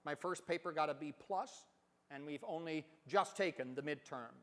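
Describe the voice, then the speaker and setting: High-voiced man reading in a reverberant hall. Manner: deliberate, dramatic phrasing with emphatic swells. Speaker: professor giving a dramatic reading. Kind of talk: expressive literary stage reading.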